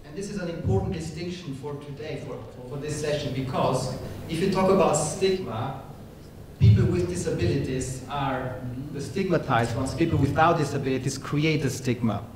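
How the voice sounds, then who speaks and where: A middle-aged man with an Austrian accent delivers a workshop lecture. His voice sits a little high for a man, and he talks in a measured way, stopping often for small fillers. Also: darkish tone, slight background noise, poor audio.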